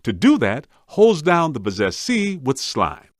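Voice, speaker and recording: An actor playing a streetwise, wisecracking ghost hunter in a video game, practical and exasperated, with casual, slangy, punchy delivery. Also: male voice, medium pitch, wildly swooping pitch, a little slow, no echo, studio quality. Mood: matter-of-fact.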